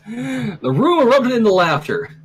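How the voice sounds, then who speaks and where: Animated, high-pitched man reading aloud. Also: a little slow, breathy edge, low-quality recording. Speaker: fan fiction reader and streamer. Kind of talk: animated story reading and casual chat.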